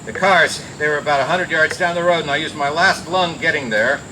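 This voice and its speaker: An actor playing a wisecracking, cool private detective who narrates in slangy old radio noir style. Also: male voice, on the high side, a little fast, close to an everyday voice. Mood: wry, cool. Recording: noisy old radio drama recording.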